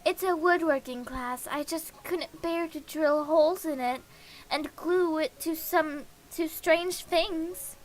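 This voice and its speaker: A woman voicing a shy, gentle schoolgirl who loves plants, in a visual novel read-aloud. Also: high-pitched, slow pace, low-quality recording. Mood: shy, hushed. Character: shy plant-loving schoolgirl skipping class.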